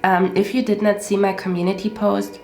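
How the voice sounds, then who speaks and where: Woman tarot reader with a stuffed-up nose, repetitive and reassuring, frequent "okay?" check-ins, echoey room with soft music. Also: medium pitch, little pitch movement, a little fast. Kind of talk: casual tarot reading monologue. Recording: roomy echo with faint music.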